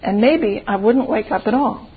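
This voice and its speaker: A woman's voice: a little high, lively intonation, normal speed, phone-quality sound, slight background noise, lightly acted. A woman voicing a frail, frightened little boy in a storybook tale, plaintive and speaking folksy old-time country dialect. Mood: doubtful, fearful.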